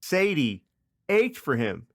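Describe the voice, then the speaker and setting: Higher-voiced man hosting a comedy podcast. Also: wildly swooping pitch, slow pace, heavy background noise. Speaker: podcast co-host. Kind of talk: comedic story reading and casual chat.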